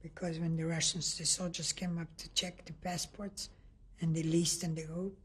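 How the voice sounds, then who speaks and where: An older woman from Lithuania giving an oral history testimony in a halting way, often restarting and searching for words. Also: on the low side, little pitch movement, husky. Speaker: interviewee.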